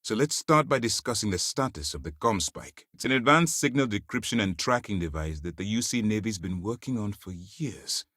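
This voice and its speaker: A man's voice: lowish voice, lively intonation, normal speed, few pauses, a bit bright, no room sound, clean studio sound. A man playing a stern, principled military commander in a video game, speaking in a clipped, formal, commanding way. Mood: stern, businesslike. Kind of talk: scripted game character dialogue.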